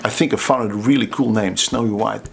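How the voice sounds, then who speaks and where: A middle-aged Dutch drummer with a Dutch accent, telling anecdotes in a chatty, casual way to the camera. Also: male voice, on the low side, fast, vocal fry. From Netherlands. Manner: chatty, anecdotal, laughs at his own stories.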